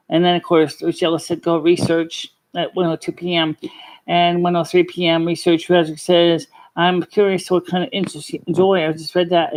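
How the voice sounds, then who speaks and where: A live stream host chatting casually and telling personal stories in an earnest, halting, rambling way. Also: mid-range voice, darkish tone, rough recording. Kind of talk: casual chat to a live chat audience, personal storytelling.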